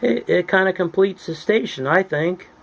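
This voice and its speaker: A man presenting a casual hands-on tutorial as a hobbyist, speaking in a halting, deliberate, plain-spoken way. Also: high voice, gravelly, a little hiss or hum. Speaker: hobbyist presenter demonstrating radio gear.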